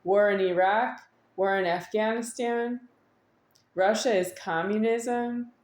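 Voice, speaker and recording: A woman giving a rambling, unscripted monologue to an audience, halting at times with drawn-out words and insistent repetition. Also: mid-range voice, steady tone, a little mellow. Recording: echoey room.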